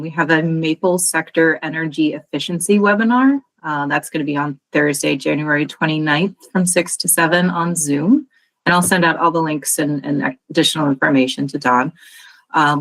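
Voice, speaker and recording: A woman's voice: sits low, no echo, rough recording. A woman giving friendly meeting updates over a call, with many fillers. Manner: friendly, filler-heavy listing of events.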